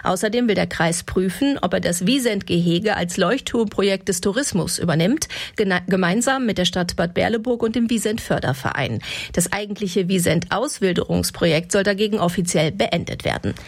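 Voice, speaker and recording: A woman presenting regional radio news in a measured, scripted delivery from a studio. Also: mid-range voice.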